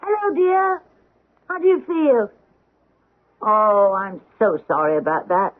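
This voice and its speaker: An intense, husky stage actress. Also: female voice, medium pitch, sing-song, slow pace. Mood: gently solicitous. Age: middle-aged. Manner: theatrical, intense, emphatic. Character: anguished middle-aged stage actress, devoted, defensive daughter. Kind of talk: 1970s radio drama acting. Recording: dull 1970s radio drama recording.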